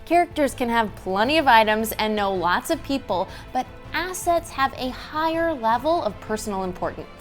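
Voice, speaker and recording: High-pitched woman presenting an explainer. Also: expressive, moderate pace, few pauses, soft background music. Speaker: host. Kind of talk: scripted instructional explainer. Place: indoor decorated studio set at a table. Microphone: lapel mic.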